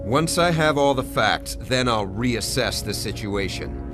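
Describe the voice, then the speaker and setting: A man's voice: medium pitch, lively intonation, lightly acted. A man playing a stern, principled navy commander who speaks formally and firmly in a military drama. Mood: cold warning. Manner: formal, firm, deliberate authority. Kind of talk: motion comic voice acting. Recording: dramatic music behind.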